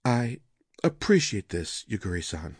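Man as low-voiced, troubled fantasy nobleman. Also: big pitch swings, unhurried, slightly rough, dry recording, studio quality, heavily acted. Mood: uneasy. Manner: hesitant, earnest. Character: earnest, troubled Japanese-style fantasy nobleman, yearning musician.

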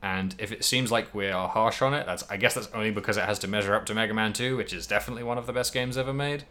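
A man's voice: on the low side, very fast, bright, forceful tone. A man hosting a podcast, casually discussing video games in a rambling way, hedging and thinking aloud as he goes. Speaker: podcast host.

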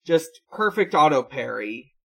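A man's voice: a little high, sing-song, unhurried, gravelly, pushed and bright, husky, rough recording. A man in his twenties in casual podcast chat, hesitant and thinking aloud as he goes. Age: 20s. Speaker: podcast co-host.

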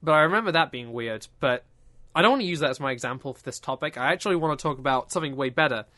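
A man's voice: medium pitch, expressive, very fast, pushed and bright. A man hosting a casual podcast, rambling and joking and often restarting his sentences. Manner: rambling, self-interrupting, joking.

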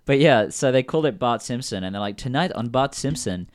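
Australian man, smooth and dry. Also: medium pitch, animated, brisk, no echo. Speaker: podcast host. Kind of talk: casual podcast chat.

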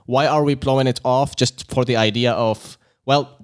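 A man's voice: medium pitch, fairly even intonation. Guy from syria, casual chat, rambly, lots of "like". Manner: quick, filler-heavy talk with many "like"s. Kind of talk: casual discussion.

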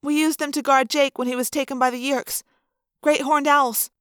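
Brief: woman reading a scared teen girl, high pitched and pleading, close dry mic. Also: steady tone, moderate pace, no room sound, lightly acted. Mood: urgent. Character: frightened, guilt-ridden teenage girl. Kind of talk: audiobook character voice.

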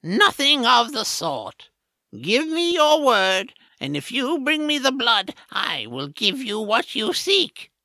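Slow, swooping old witch. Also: a little high, big pitch swings, pushed and bright, no room sound, exaggerated voice. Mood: bargaining. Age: older. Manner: drawn-out, sly, theatrical delivery. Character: old crone witch, sly, haughty, bargaining.

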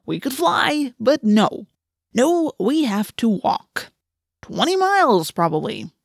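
A woman's voice: lowish voice, brisk, gravelly, no room sound, clean studio sound. A woman voicing a sarcastic, grumbling, wisecracking teenage boy in an audiobook. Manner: sarcastic, complaining. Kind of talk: audiobook character voice.

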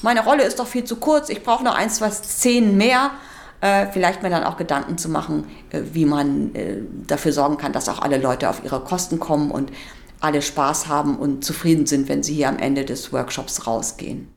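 A woman who moderates and directs workshops, giving unscripted interview answers and haltingly searching for words. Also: sits low, fairly even intonation, creaky.

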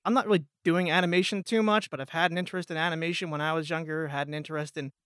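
A man's voice: fairly high pitch, fairly even intonation, rapid-fire, fairly bright tone, clear, resonant tone, no room sound, clean studio sound. A man in his twenties chatting casually as a voice actor guest on a podcast. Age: 20s. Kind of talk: casual chat.

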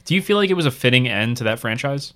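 Man, podcast host, bright crisp tone, relaxed, rambling, casual chat. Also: mid-range voice, fast talker.

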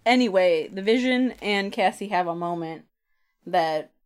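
A woman's voice: lowish voice, measured pace, smooth. Woman podcast host in casual, playful chat. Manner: playful, acts out quoted dialogue with asides. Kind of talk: casual chat recapping a comic.

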